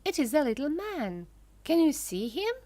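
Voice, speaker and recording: A woman's voice: high voice, big pitch swings, moderate pace, mellow, no echo, pitched above the performer's own voice. A woman reading aloud and voicing a kind young boy, full of eager wonder.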